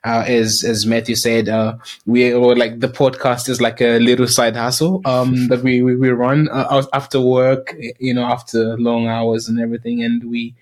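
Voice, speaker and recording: A man with a Southern African accent hosting a casual podcast chat, speaking in a hesitant, halting way. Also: medium pitch, little pitch movement. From Zimbabwe, grew up in South Africa. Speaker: podcast host.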